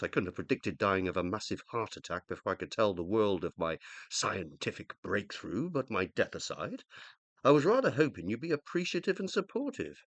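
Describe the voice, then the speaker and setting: A self-important male scientist in a radio play, acted by a man, uploaded after death and very proud of it. His delivery is theatrical and extremely animated, with wide leaps of pitch. The sound is close and dry, and his pompous tone tips readily into indignation. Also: no echo. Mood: self-justifying. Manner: pompous, theatrical, easily exasperated. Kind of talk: radio play acting, monologue and dialogue.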